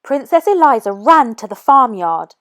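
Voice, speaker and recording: Female storyteller for children, slightly high voice, animated intonation, unhurried read-aloud.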